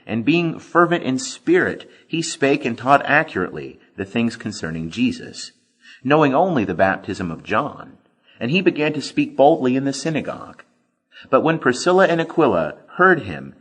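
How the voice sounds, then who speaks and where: A man reading scripture as scripted audiobook narration, with expressive, deliberate emphasis. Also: mid-range voice. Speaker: scripture reader.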